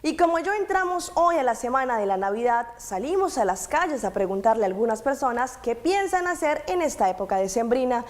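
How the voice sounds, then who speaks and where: A woman's voice: on the high side, very animated, brisk, few pauses. A woman presenting scripted television news as host and reporter.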